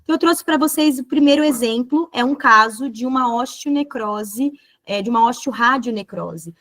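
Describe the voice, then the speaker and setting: A woman's voice: fairly high pitch, moderate pace, fairly bright tone. A woman with a Brazilian Portuguese accent giving an online lecture as a dentist, explaining fluently and often checking in with tag questions.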